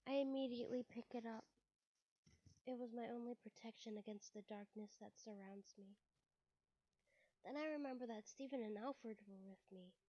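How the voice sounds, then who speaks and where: A woman's voice: a little high, moderate pace, dark tone. A woman streamer who is under the weather, reading a visual novel aloud with casual asides. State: sick, occasional cough. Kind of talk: live let's-play read-aloud narration with casual asides. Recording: roomy echo, dull lo-fi sound.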